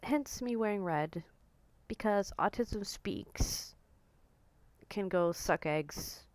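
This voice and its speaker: A woman in her forties talking casually to camera in a blunt, outspoken way, her voice a little croaky and hoarse. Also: medium pitch, animated, slow pace, dry recording. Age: 40s. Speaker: vlogger.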